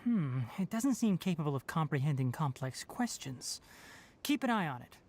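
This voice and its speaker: An actor playing a calm, analytical young male forest watcher in a video game, measured, precise and businesslike. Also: a little high, a little hiss or hum. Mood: thoughtful. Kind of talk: scripted video game dialogue. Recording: game music behind the voice.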